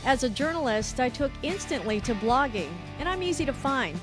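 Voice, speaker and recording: A woman host from Hong Kong narrates a travel show with a bright, upbeat, conversational delivery. Her voice sits a little high. A clear music bed plays under her voice-over. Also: big pitch swings, normal speed.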